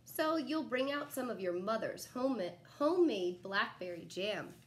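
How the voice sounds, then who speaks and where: A young adult woman reading a children's picture book aloud like a teacher, in an animated, expressive way with asides to young listeners. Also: on the high side. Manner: animated, pausing to ask young listeners questions. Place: small living room at home, indoors. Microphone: phone camera a short distance away.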